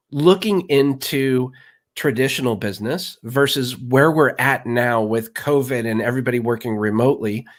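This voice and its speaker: A man hosting an interview, excitable and rambling, often restarting his sentences. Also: mid-range voice. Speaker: podcast host.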